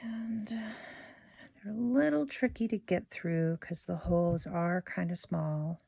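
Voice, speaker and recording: A woman hosting a casual step-by-step craft tutorial in an informal, unhurried way, thinking aloud as she works. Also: lowish voice, steady tone, gravelly, mellow, dry recording.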